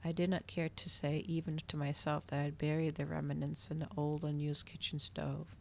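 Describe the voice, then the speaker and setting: A woman reading a story aloud in a soft, hushed ASMR style. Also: sits low, flat delivery, normal speed, vocal fry, a little hiss or hum. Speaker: streamer reading a novel aloud.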